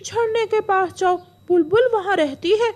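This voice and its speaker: A woman voicing an excitable, helpful male parrot in a chirpy, urgent way for a cartoon. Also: very high-pitched, normal speed, pitched above the performer's own voice. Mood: eager, informative.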